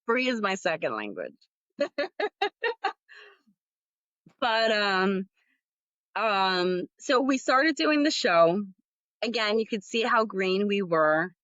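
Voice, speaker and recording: A woman hosting her own podcast, chatting in a slow, unhurried way. She rambles candidly and leans on plenty of fillers. The sound is dry and a little dull, as if recorded on modest gear. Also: medium pitch, big pitch swings, fairly bright tone, no echo. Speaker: podcast host. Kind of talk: casual solo podcast talk.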